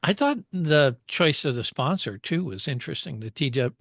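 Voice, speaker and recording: A man's voice: on the high side, lively intonation, normal speed, creaky, a bit bright, no room sound, clean studio sound. A man co-hosting a motorsport show in casual, conversational and analytical discussion.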